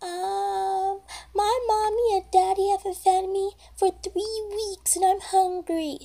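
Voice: very high-pitched, steady tone, slow, gravelly, mellow, voice pushed up, full character voice. A young performer voicing a mischievous little pony girl in toy play, with a hesitant, stammering, childlike delivery. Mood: fake pleading.

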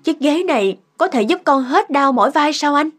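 A woman voicing a caring, curious wife and mother in an animated cartoon. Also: high-pitched, normal speed. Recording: music behind the voice.

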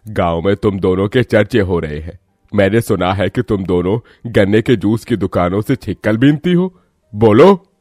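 A man's voice: on the low side, animated, normal speed, a little mellow, dry recording, studio quality, soft background music. A man voicing a poor, proud village father in a cartoon story, scolding and hurt, with reproachful questions.

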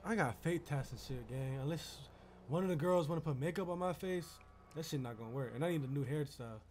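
A man's voice: fairly high pitch, fast, a little mellow. A young man bantering casually in street slang during online game roleplay, often repeating his phrases. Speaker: player in a GTA roleplay server. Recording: background noise on game voice chat.

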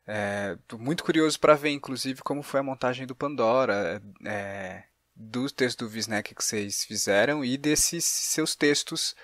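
A man's voice: medium pitch, fairly bright tone, no echo. A man with a Brazilian accent interviewing as a podcast host, in a thoughtful, informal conversational way.

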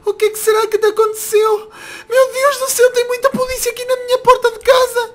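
A woman's voice: very high-pitched, normal speed, fairly bright tone, soft background music. A woman playing a frightened, anxious young boy devoted to the mother, pleading and crying out in an amateur acted drama. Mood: desperate, crying. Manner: pleading, repeating words, crying out.

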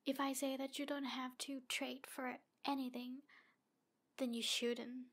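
A woman voicing a jealous, obsessive yandere demon woman in an ASMR roleplay, breathy and halting, often slipping toward a whisper. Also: high-pitched, flat delivery. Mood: coaxing.

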